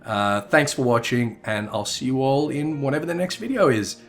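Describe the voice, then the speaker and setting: A man's voice: medium pitch, normal speed. A man talking casually as the creator of a gaming video, giving his own commentary. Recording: music behind.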